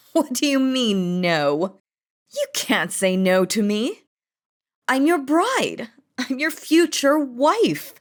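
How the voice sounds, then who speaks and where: A woman's voice: fairly high pitch, full character voice. A woman voicing a possessive, commanding demon queen in a romantic audio roleplay, dramatic and by turns threatening and doting. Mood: commanding, indignant.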